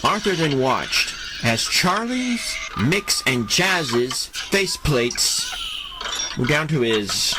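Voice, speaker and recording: A man narrating a scripted superhero audio drama in a deliberate style, with dramatic pauses between phrases. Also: medium pitch, big pitch swings, noisy background.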